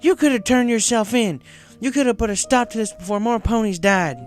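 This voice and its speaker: A woman's voice: on the high side, a little fast, soft background music, pitched above the performer's own voice, close to an everyday voice. A woman voicing a blunt, accusing workhorse mare in a dramatic story reading with a stern manner. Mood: shocked, angry. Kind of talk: dramatic audio fiction reading.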